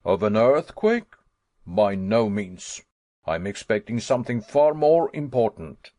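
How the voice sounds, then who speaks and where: A man reading an audiobook, voicing a calm older professor who speaks in a cool, measured, faintly amused way. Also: on the low side, dry recording.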